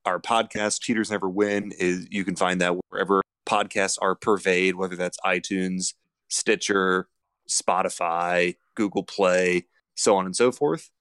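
A man appearing as a guest on a podcast, chatting in a relaxed, rambling way. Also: deep voice, unhurried, smooth, no room sound. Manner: relaxed, rambling, many fillers. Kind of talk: casual chat.

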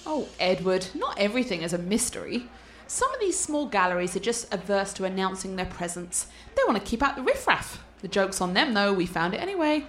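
A woman's voice: mid-range voice, big pitch swings, fairly quick, few pauses, fairly bright tone, slight background noise. A woman playing a cultured, confident art scholar and teasing fiancée in a radio play, articulate and playful. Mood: playfully chiding.

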